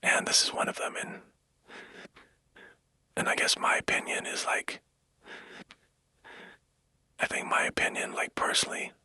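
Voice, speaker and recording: A man speaking in a breathy, unvoiced whisper, rambling casually and hesitantly in a soft ASMR-like monologue. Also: a little slow, pauses often, no echo.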